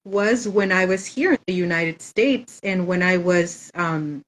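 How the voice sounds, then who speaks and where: A woman's voice: on the low side, a little slow. A woman author whose first language is Spanish, chatting thoughtfully in a casual interview with frequent "um" fillers.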